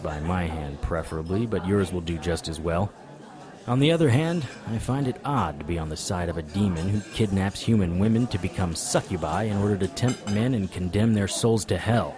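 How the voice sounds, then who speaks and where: A man's voice: sits low, moderate pace, a crowd in the background, natural voice. An actor playing a hard-bitten male monster hunter, narrating noir-style in first person with dry, wry delivery. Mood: wary.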